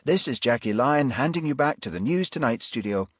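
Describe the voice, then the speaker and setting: A man voicing a brisk, formal woman television reporter with polite, polished delivery in an audiobook. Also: mid-range voice, lively intonation, slightly rough, dry recording, clean studio sound, pitched above the performer's own voice. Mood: presenting. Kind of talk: audiobook character voice.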